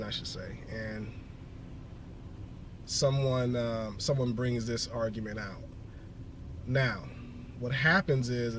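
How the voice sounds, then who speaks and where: A man with a cold, slightly scratchy, explaining a logical fallacy in a halting, casual monologue full of fillers and restarts. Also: medium pitch. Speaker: video blogger.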